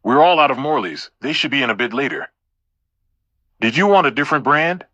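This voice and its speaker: A man's voice: mid-range voice, expressive, brisk, bright, forceful tone, no echo, muffled recording. A man playing an oblivious, leering convenience store clerk in an audio play, casual and overfamiliar. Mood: casual, unbothered.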